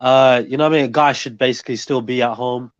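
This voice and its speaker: A man speaking haltingly on a podcast panel, searching for words with many fillers. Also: medium pitch, fairly even intonation, lo-fi sound, slight background noise. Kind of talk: casual debate chat.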